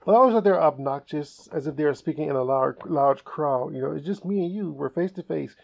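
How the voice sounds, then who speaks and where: A man hosting a comedy podcast, telling stories in a casual, animated way and laughing often. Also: on the high side, fast talker, vocal fry, highs cut off. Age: adult. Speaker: podcast host.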